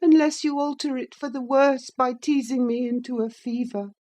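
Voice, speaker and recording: A woman's voice: fairly high pitch, slow pace, darkish tone, pitched above the performer's own voice. A woman voicing a sickly, petulant teenage boy in an audiobook, whining and self-pitying. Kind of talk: audiobook character reading.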